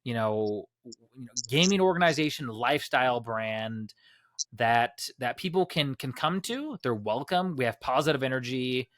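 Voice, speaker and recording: A man's voice: medium pitch, expressive, normal speed, fairly bright tone, dry recording. A man hosting a casual group podcast, rambling with many fillers and restarts. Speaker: podcast host. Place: Los Angeles.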